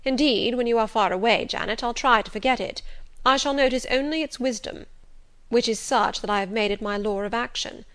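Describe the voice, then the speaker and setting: A woman voicing an imperious, teasing middle-aged gentleman in an audiobook, with formal, pompous and emphatic delivery. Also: on the high side, fairly quick, lightly acted. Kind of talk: audiobook character dialogue.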